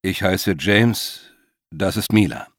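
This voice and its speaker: A man's voice: medium pitch, lively intonation, moderate pace, no room sound, studio quality, voice pushed up, natural voice. Man playing an earnest, uneasy male adventurer. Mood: careful, placating. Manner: earnest, placating.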